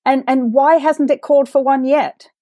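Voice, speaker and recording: A woman from England co-hosts a podcast, earnest and chatty, with a somewhat high voice. Her speech is sprinkled with "like" and "um", and the recording is dry and close. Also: fairly even intonation, normal speed, no echo. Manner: warm, earnest, uses like and um. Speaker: podcast co-host. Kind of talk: interview chat.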